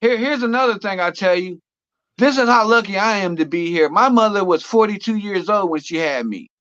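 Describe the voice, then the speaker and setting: High-voiced man in his forties, slangy. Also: a little fast, a bit bright, muffled recording. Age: 40s. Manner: slangy, swearing, emphatic. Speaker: panelist on an open panel show. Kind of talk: casual group chat.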